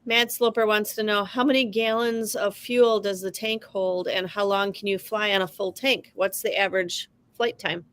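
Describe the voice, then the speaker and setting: A woman's voice: mid-range voice, fairly even intonation, normal speed, hardly any pauses, fairly bright tone. Woman host reading viewer questions.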